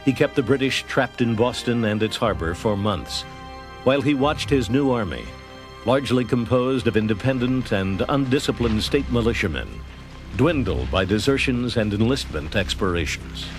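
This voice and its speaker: A man's voice: medium pitch, expressive, normal speed, over music. An American man narrating a scripted history documentary.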